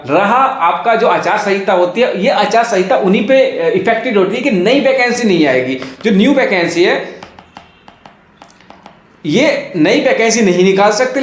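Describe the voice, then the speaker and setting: A man with a notably high voice gives informal coaching advice. He explains step by step and often repeats key phrases. The sound is clearly roomy, with echo around the voice. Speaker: exam coaching teacher and YouTuber. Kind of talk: informal explainer on police recruitment. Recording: echoey room.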